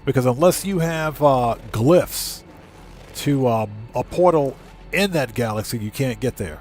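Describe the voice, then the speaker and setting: A man livestreaming a game, chatting casually with viewers and thinking aloud in a halting, easygoing way. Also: mid-range voice, animated, darkish tone, a little hiss or hum. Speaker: livestream host and gamer.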